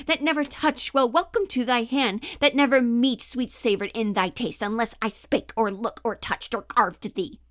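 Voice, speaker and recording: A woman's voice: on the high side, no echo, clean studio sound, lightly acted. A woman performing a wronged, accusing wife in a theatrical classical stage monologue, in dramatic declamatory verse delivery. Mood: hurt, pleading. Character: wronged Shakespearean wife, passionate, accusing, scorned.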